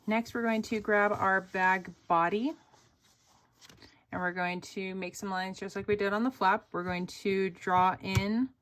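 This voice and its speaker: A woman's voice: medium pitch, a bit bright, slight background noise. Chatty woman giving a sewing tutorial. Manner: chatty, upbeat, many fillers like "like" and "oop". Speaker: sewing pattern designer teaching a tutorial. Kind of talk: casual step-by-step sewing tutorial.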